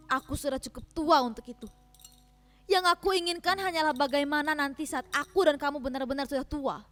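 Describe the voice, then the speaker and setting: A high-pitched, wounded wife in a failing marriage, played by a woman. Her delivery is theatrical, emotional and reproachful, as in a staged dramatic reading, with faint music behind. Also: a bit bright. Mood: wounded, resolute. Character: hurt, proud village wife in a failing marriage.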